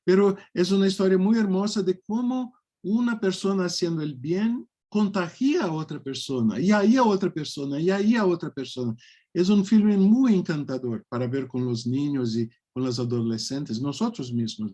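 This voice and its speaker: A man, speaking slowly and reflectively in a casual online faith discussion over a video call. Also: high voice, animated. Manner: slow, warm and reflective, with soft laughs. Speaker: speaker leading a faith study talk.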